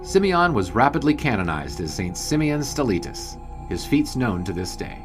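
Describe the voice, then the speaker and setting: A man's voice: medium pitch, very animated, normal speed, close to an everyday voice. A man playing a seasoned old-time sports writer, narrating a scripted history story in a dry, wry way. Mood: measured. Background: background music.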